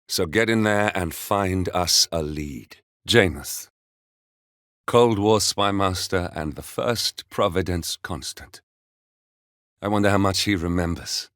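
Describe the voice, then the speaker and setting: A composed, brooding mercenary handler from a stealth video game. His voice sits low, and he delivers briefings in measured, understated phrases with a wry edge. The sound is dry and close, free of room echo. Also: a bit bright. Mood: grim, focused, then grave, reflective. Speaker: mission handler. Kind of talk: scripted video game voice acting, mission briefing.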